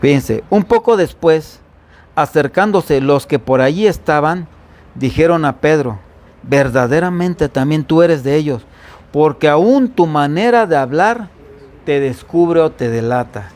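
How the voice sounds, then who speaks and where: A man's voice: on the high side, expressive, a little slow, a little mellow. A man from the Veracruz coast preaching as pastor in an informal Bible-study sermon, with a faint Veracruz accent, often asking the congregation questions. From Veracruz coast, Mexico.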